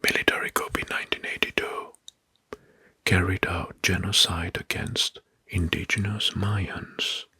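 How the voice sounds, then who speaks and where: A man narrating facts as an ASMR host in a hushed, breathy whisper, deliberately repeating phrases. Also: sits low, level tone. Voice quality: breathy, mostly unvoiced whisper.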